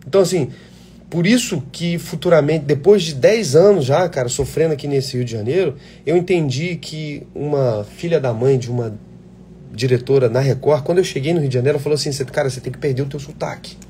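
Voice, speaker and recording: A man's voice: a little high, fairly quick, dark tone, slight background noise. Blunt, passionate Brazilian actor man. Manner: blunt, swears freely, passionate. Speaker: actor giving advice to aspiring actors. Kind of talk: casual live chat answering viewer questions.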